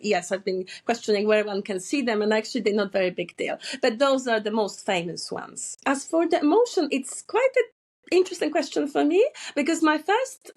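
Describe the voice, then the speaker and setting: A woman's voice: fairly high pitch, animated, normal speed, darkish tone. A woman in her thirties with a Polish accent, answering interview questions as a psychotherapist, thoughtful and prone to restarts. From Poland. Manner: thoughtful, with frequent restarts and fillers. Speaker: psychotherapist guest.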